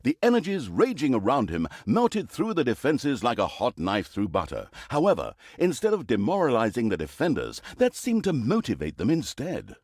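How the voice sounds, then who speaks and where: A man's voice: mid-range voice, a little mellow, no room sound, studio quality. A male actor playing a pompous, sardonic storyteller who narrates a fantasy video game with theatrical, mock-grandiose delivery.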